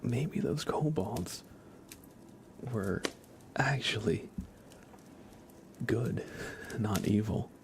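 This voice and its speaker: A man hosting an ASMR livestream, chatting softly and casually in a rambling way, often slipping into a whisper. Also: lowish voice, animated, extremely slow, long pauses, slight background noise. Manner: casual, rambling, hesitant with frequent "like". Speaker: livestream host. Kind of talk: soft-spoken ASMR livestream, casual chat.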